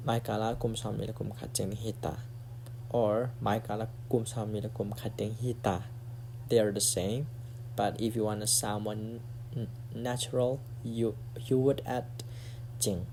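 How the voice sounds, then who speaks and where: Guy teaching phrases, kinda low voice, repeats stuff over and over for practice. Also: fairly even intonation, moderate pace, vocal fry, mellow, a little hiss or hum. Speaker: language teacher. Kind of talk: casual spoken language lesson.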